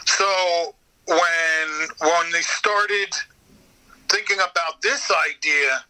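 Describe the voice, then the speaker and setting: An American man chats casually as an interview guest. His voice is very bright and sharp, sitting a bit higher than most men's. He tells anecdotes about his voice work in a loose, conversational way. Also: steady tone, very slow, smooth, rough recording. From United States.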